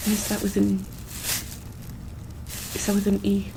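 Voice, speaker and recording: A woman acting as a calm, caring doctor in a soft ASMR roleplay, over clearly audible background noise. She talks slowly at a middling pitch. Her delivery is hesitant, broken by pauses and frequent ums. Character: calm, caring female monster-hospital doctor.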